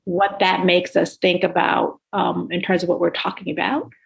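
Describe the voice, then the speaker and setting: A woman's voice: on the low side, little pitch movement, moderate pace, vocal fry. A woman speaking earnestly and reflectively as a panelist in a discussion.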